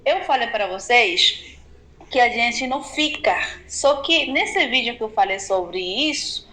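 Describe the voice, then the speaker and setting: A woman's voice: a little high, expressive, a little slow, pushed and bright. A woman from Venezuela with a Venezuelan accent, a native Spanish speaker, chatting casually and directly to her viewers as a video blogger. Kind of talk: casual chat to camera.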